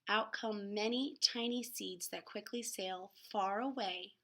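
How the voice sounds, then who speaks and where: A woman's voice: medium pitch, unhurried, pushed and bright. A woman reading a picture book aloud to children expressively, with dramatic emphasis and casual, chatty asides. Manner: dramatic emphasis, gasps and exclamations.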